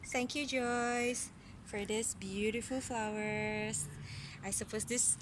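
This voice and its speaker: A woman reading a picture book aloud to a young child, with gentle, encouraging, chatty asides to explain the pictures. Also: a little high, steady tone, takes it slowly. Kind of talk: casual read-aloud storytelling with chatty asides. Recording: noisy recording with vehicle-like background hum.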